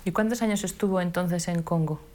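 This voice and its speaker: A woman's voice: fairly low pitch, a little fast, a little mellow. A woman conducting an interview, giving short prompts and follow-up questions. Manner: brief prompts and short backchannels.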